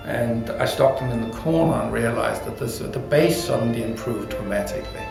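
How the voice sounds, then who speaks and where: A man's voice: medium pitch, moderate pace, vocal fry. A man explaining his design work in an unscripted interview, reflective and hesitant, often rephrasing mid-sentence. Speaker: audio company designer being interviewed. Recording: roomy echo with music behind.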